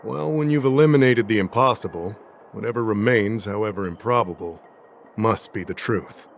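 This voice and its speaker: An actor playing a shrewd, insistent investigator in a video game, deliberate and logical as he reasons and questions. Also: on the low side, creaky, slight background noise. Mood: accusing, insistent. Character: shrewd, insistent male investigator pressing an accusation. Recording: music behind the voice.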